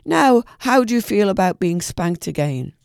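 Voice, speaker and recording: A wise rock python from a storybook, as a reader puts it on, speaking in a slow, measured way with a gravelly texture. The voice rises and falls expressively, close and dry. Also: medium pitch, sing-song, no room sound. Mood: sly. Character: wise old python snake.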